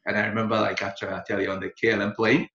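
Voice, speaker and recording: A man from Ghana, a lawyer and guest on a podcast, telling his story in a relaxed way and laughing now and then. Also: fairly low pitch, racing. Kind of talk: interview storytelling. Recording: echoey, dull lo-fi sound.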